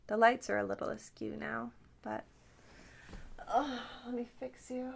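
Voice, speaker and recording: Soft-spoken woman, slow and creaky. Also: high-pitched, slight background noise. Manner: soft-spoken, often dropping into whispers; playful, doting on the listener. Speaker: ASMR creator talking to viewers. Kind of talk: casual rambling ASMR chat.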